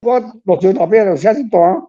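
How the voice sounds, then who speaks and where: A man's voice: sits high, brisk, dark tone. An older man with a Taiwanese accent talking casually and reflectively as an author, often adding tag questions. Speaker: author, guest. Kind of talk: casual reflective talk on own writing. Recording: muffled, slightly dull sound.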